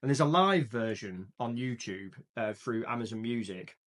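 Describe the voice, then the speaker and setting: A man's voice: mid-range voice, animated, moderate pace, a bit bright. A man co-hosting a casual, chatty discussion about films and games, often thinking aloud. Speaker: podcast co-host.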